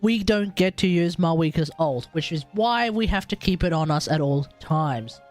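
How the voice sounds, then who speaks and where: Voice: medium pitch, normal speed. An Australian game streamer giving casual live commentary while playing. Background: faint game music.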